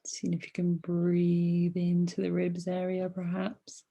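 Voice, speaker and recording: A woman leading a guided meditation as a teacher, speaking softly and soothingly in short phrases with long pauses between them. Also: on the low side, monotone, creaky. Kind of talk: guided meditation, body scan.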